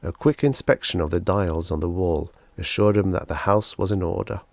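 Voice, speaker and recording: A man's voice: deep voice, moderate pace, gravelly, no echo. A man narrating a short story as a scripted audiobook reading.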